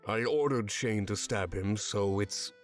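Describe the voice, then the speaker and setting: A man plays a visual novel commander, a former foe now turned ally. He is low-pitched, earnest and composed, speaking formally and with measure over soft background music. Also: unhurried, close to an everyday voice.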